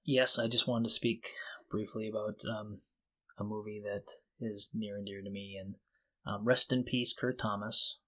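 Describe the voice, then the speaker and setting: A man with a fairly low voice co-hosts a casual podcast. He explains things informally, pausing and feeling his way through his sentences as he goes. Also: level tone, pushed and bright. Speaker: podcast co-host.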